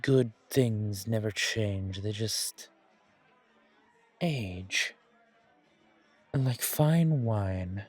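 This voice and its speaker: Man voicing a slow, husky, courtly vampire gentleman. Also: fairly low pitch, soft background music, heavily acted. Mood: playful, nostalgic. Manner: genteel, poetic, unhurried, flirtatious. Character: suave, courtly, old-world male vampire charming a stranger. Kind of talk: roleplay audio, ASMR horror.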